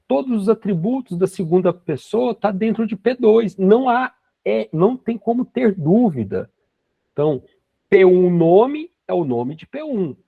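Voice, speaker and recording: A man's voice: high voice, very animated, unhurried, creaky, breathy edge, no room sound. A man in his forties teaching a programming class, explaining each step patiently with frequent tag questions. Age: 40s. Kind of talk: screen-recorded coding lesson. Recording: dry, dull lo-fi sound.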